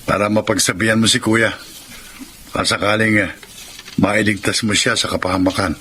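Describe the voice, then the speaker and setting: An actor playing a concerned, hesitant husband with a measured delivery in a Tagalog radio drama. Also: male voice, lowish voice, flat delivery, pushed and bright, a little hiss or hum. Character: concerned, hesitant husband reluctantly revealing a secret.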